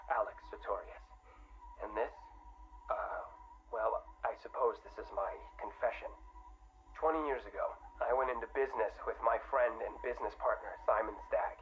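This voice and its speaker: A man's voice: medium pitch, lightly acted. Man acting a jittery scientist on tinny recording. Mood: flustered, apologetic. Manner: nervous, stammering, apologetic, rambling. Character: jittery, guilt-ridden, tipsy male scientist, middle-aged. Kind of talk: confessional audio log for a video game. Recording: phone-like tinny audio log, faint hiss.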